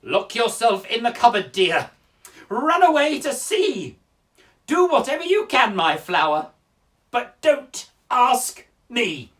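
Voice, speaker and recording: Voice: mid-range voice, big pitch swings, slow pace, fairly bright tone, recorded from a distance, pitched above the performer's own voice. A performer voicing an exasperated female schoolteacher, sweetly dismissive, with singsong endearments and weary impatience. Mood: fed up, exasperated.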